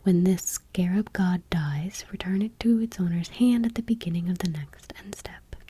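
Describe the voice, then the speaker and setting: A woman host speaking in a soft, breathy whisper, gently and without hurry, reading text aloud in ASMR style. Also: on the low side, normal speed.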